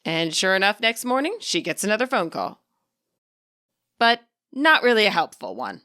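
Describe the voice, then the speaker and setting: A woman narrating a game playthrough as a story, expressive and informal. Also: medium pitch, normal speed, no room sound, studio quality. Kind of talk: storytelling narration with character voices.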